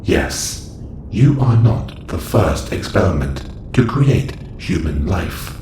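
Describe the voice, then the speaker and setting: A man's voice: low voice, very animated, slow, a little mellow, full character voice. A man voicing a clinical, detached observation robot in an audio roleplay, speaking in a stilted, formal, matter-of-fact way. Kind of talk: scripted audio roleplay monologue. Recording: roomy echo with faint noise.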